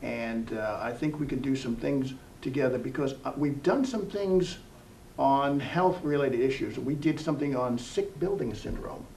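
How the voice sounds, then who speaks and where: A man's voice: mid-range voice, moderate pace, darkish tone. A man hosting an interview program in a casual, rambling, conversational way. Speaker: TV program host. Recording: distant mic with faint room hiss.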